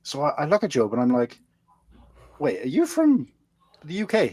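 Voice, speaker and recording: A man's voice: a little high, wildly swooping pitch, normal speed, darkish tone, slightly rough, no room sound. A Welsh man hosting a casual chat show, rambling and friendly, calling people mate. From Wales. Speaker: podcast host.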